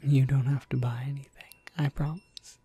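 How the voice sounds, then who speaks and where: A woman playing a friendly witch shopkeeper in an ASMR roleplay, gentle, polite and unhurried, in a breathy, husky whisper. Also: rumbling low, little pitch movement, heavily acted. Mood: warmly welcoming. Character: friendly witch shopkeeper, kindly and softly welcoming.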